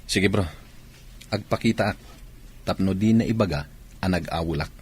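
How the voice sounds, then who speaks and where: A low-voiced soldier in radio drama. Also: male voice, moderate pace, a little mellow, slightly rough. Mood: concerned. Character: male soldier, married, talking with comrades. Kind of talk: Ilocano radio drama acting.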